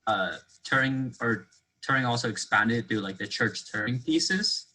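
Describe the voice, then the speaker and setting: A man's voice: medium pitch, animated, normal speed, bright, forceful tone, low-quality recording. A man speaking as a student in a casual discussion, phrasing things hesitantly with lots of "like". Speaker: student or class participant.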